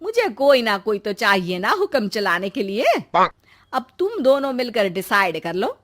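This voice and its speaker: Woman acting cartoon mother-in-law, high and sharp, bossy, taunting, theatrical. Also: sing-song, normal speed. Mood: bossy, scheming. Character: scolding, domineering, sarcastic Indian mother-in-law. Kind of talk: cartoon moral-story acting.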